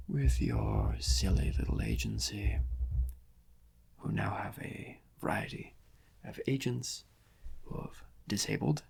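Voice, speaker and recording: A man playing a gloating, theatrical criminal mastermind in a soft-spoken ASMR roleplay, breathy and often near whispering, with pompous, mock-polite, drawn-out musing. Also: on the low side, heavily acted. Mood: wary, annoyed. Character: gloating, theatrical criminal mastermind villain, supremely confident yet cautious.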